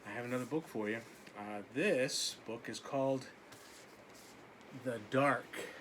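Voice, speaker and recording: Male preschool teacher, read-aloud: bright, slow delivery with simple asides, some background noise. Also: mid-range voice. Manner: simple asides to young listeners. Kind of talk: picture-book read-aloud for young children.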